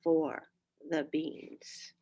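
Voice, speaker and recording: A woman reading a children's story aloud in an expressive, deliberate way. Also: low voice. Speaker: storybook reader. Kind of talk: scripted children's story narration.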